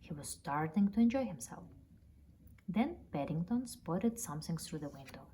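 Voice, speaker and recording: A woman reading a children's story aloud in an expressive storytelling style. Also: sits low, normal speed. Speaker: storybook reader. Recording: noticeable background noise, ambience.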